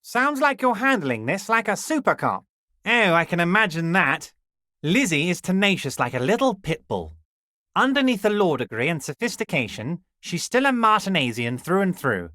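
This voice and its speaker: Scheming, chuckling middle-aged union boss, folksy and condescending, with a lively swooping delivery and bone-dry studio sound. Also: male voice, high-pitched, moderate pace, no echo, exaggerated voice. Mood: sly, jovial. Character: smooth, scheming, jovial male union boss. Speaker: video game character. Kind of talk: game dialogue voice acting.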